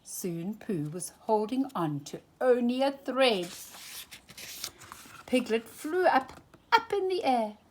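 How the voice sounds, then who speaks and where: A woman reading a children's picture book aloud for storytime, with an expressive, animated delivery. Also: fairly high pitch, slow, pauses often, a little hiss or hum. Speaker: storytime reader.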